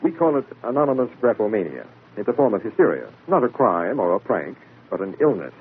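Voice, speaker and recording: Pedantic, guarded radio-drama doctor, lower register, thin hissy old recording. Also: male voice, very animated, fairly quick, narrow, tinny audio. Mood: brusque, pedantic. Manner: pompous, clinical, hesitant when pressed. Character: evasive, self-important male psychiatrist, busy and pedantic. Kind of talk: 1940s radio acting.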